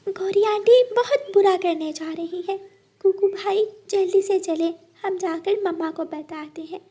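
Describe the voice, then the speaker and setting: A caring little cartoon girl bird, played by a woman, with an extremely high, mellow voice. She speaks sweetly and earnestly. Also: a little slow. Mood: urgent. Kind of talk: Hindi moral cartoon character acting.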